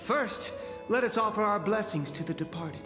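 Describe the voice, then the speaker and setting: An actor playing a newly appointed chaplain in a fantasy game, addressing citizens in grand, measured, ceremonial oratory. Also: male voice, sits high, close to an everyday voice. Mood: solemn proclaiming. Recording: echoey hall reverb over game music.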